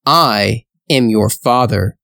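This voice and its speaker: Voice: medium pitch, takes it slowly, gravelly, a bit bright, no echo, clean studio sound, pitched below the performer's own voice. A narrator voicing an old male sea dragon king, stern but hospitable, in a storybook tale. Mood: stately.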